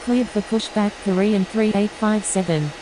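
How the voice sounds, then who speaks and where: A businesslike female airline pilot, played by an actress in a flight game, gives scripted radio calls. Her mid-pitched voice comes with clear hiss. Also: normal speed, lightly acted.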